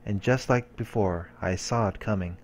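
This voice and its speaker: Lowish-voiced man reading game narration. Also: moderate pace, darkish tone. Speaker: let's play host.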